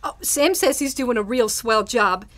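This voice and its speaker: A woman's voice: a little high, animated, a little slow, a bit bright. A woman acting a perky, teasing young radio station secretary in a snappy old-time comedy style. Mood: eager, dutiful. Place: live stage performance in a bookstore.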